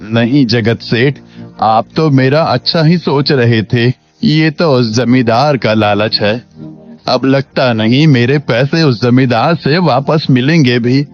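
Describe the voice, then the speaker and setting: A man's voice: medium pitch, takes it slowly, close to an everyday voice. A man playing a humble, wronged villager in a cartoon moral story, plaintive and respectful in his manner. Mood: aggrieved. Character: humble, wronged, grateful villager cheated of savings. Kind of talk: Hindi animated moral story acting. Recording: dull lo-fi sound, faint music bed.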